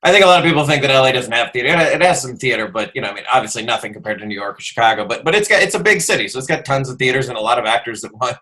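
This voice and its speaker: A man's voice: mid-range voice, very fast, hardly any pauses, pushed and bright. An American man hosting a casual interview, bantering and joking as he asks questions. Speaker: podcast host.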